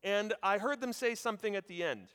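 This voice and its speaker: Loud, animated American preacher in his thirties. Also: high voice, a little fast, clean tone. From United States. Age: 30s. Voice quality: loud, projecting voice. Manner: conversational, animated, addresses listeners directly. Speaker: preacher at a seminary chapel. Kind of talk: sermon. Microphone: handheld or lapel mic. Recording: hall reverb.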